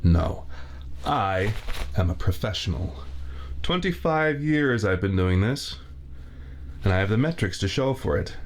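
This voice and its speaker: A man's voice: lowish voice, expressive, slight background noise. A man playing a spiteful laid-off torturer. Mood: fussy, clinical. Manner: formal, theatrical villain diction, slow and deliberate. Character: spiteful laid-off veteran male torturer, fussy and formal. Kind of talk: ASMR roleplay. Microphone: 3Dio binaural mic.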